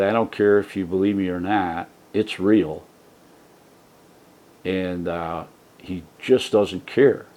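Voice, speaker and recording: A man with a very low, deep voice talks softly and casually to his viewers. He rambles, circling back with fillers and restarts, in a relaxed home vlog set-up on a desk mic. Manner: soft-spoken, rambling with many fillers and restarts. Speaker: YouTube channel host. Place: trailer home. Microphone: desk mic set for a soft voice.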